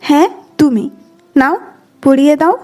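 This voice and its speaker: A woman's voice: high voice, a little slow, dark tone, soft background music. A woman playing a bossy, jealous and playful young woman in love in an audio drama. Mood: playfully demanding. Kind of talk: dramatised audio story dialogue.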